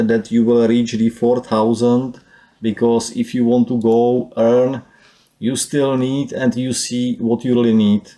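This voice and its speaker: Czech guy talking slow and halting in english, lots of uh and let me say, kinda dark mellow voice. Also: mid-range voice. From Czech Republic. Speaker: YouTuber. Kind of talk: screen-share channel walkthrough.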